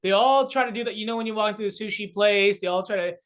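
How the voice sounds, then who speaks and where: A young man, a guest comedian talking in a casual interview, animated, with many fillers and restarts. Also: high voice, quick pace, clean tone. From South Korea.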